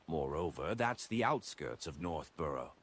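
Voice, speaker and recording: A man's voice: rumbling low, very animated, unhurried, fairly bright tone, slight background noise, heavily acted. Languid worldly young woman, voiced by a man, lilting. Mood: pleased, knowing. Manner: languid, knowing.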